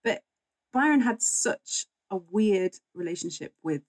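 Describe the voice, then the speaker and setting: A woman's voice: medium pitch, expressive, a little slow, a little mellow, dry recording. A woman speaking as a guest historian in a conversational interview, explaining things in a chatty, informal way.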